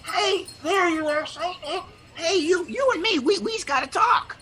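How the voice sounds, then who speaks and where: Woman playing bright, high-pitched streetwise Toofy. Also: lively intonation, a little slow, slight background noise, full character voice. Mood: chummy, conspiring. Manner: slangy, folksy, blunt.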